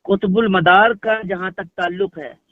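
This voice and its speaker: A man's voice: high voice, fairly even intonation, normal speed, no echo. A man talking emphatically on a phone call, repeating phrases and posing rhetorical questions as a religious speaker. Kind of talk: phone conversation, explaining and declaring at length. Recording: muffled narrowband phone call recording.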